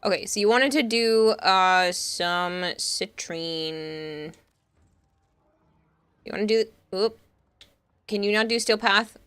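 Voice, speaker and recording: A woman's voice: mid-range voice, takes it slowly, long pauses, a bit bright, smooth. A young woman with a Boston accent talks to her live-stream chat in a chatty, reassuring way. She replies to viewers and thanks them as she goes. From Massachusetts.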